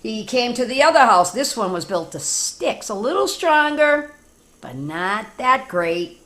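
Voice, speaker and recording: A woman with an American accent reading a story aloud to children, animated and informal, with chatty asides. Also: fairly high pitch, unhurried. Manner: animated, informal, talks directly to young children. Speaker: teacher reading stories to children.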